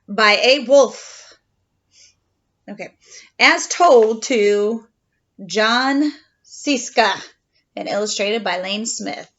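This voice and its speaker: A woman's voice: fairly high pitch, extremely slow, pauses often, a bit bright. A woman, a mother, chatting casually and playfully as she reads a children's storybook aloud. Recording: dull home recording.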